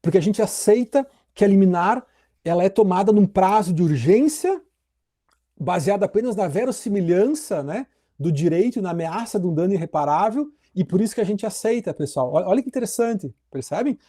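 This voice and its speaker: A middle-aged man lecturing on legal theory. His voice sits high for a man but has a soft, mellow warmth. He talks in a relaxed, chatty way and keeps turning to his students with little tag questions. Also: brisk. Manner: conversational, addresses students directly with frequent tag questions. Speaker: law professor.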